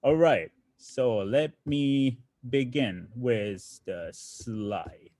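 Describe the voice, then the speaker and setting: A man's voice: medium pitch, extremely slow, warm tone, no room sound, clean studio sound. A man from Japan, a native Japanese speaker, teaching an online programming lesson in English, explaining step by step with many fillers and self-corrections and often asking the audience questions. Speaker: coding teacher.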